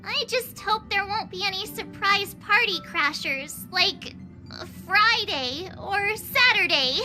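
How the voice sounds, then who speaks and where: A woman voicing an excitable, fun-loving young adventurer who teases and protests in a game cutscene over music. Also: very high voice, slow pace, exaggerated voice. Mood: protesting. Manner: excitable, whiny, teasing.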